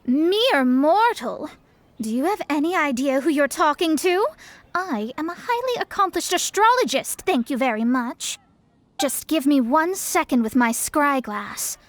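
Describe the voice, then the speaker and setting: Proud young astrologer girl from a video game, high voice, takes her time, bit of a know-it-all. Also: expressive, unhurried, no echo. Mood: haughty, indignant. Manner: self-assured, formal, lecturing. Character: proud, haughty young woman astrologer mage. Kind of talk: video game character acting.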